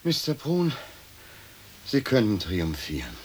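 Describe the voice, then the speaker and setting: An actor playing a courteous, pensive gentleman in a radio play, speaking formally and deliberately on a hissy old recording. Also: lowish voice, wildly swooping pitch, full character voice. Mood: grave. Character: courteous, pensive gentleman arguing with His Lordship. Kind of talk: stereo radio play acting.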